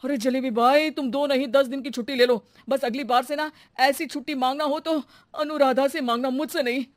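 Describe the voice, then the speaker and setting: A woman's voice: high voice, fairly even intonation, brisk, no room sound, studio quality, close to an everyday voice. A woman voicing an exasperated middle-aged man who is at his wits' end, in a cartoon story. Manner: flustered, pleading to be left alone.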